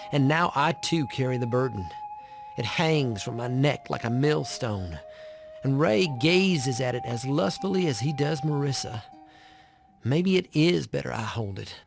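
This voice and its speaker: An actor playing a troubled, devout brother who gives solemn, brooding narration in a western video game, with a gravelly voice. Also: male voice, mid-range voice. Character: troubled, pious younger brother of gunslingers, narrating. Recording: music behind the narration. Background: music.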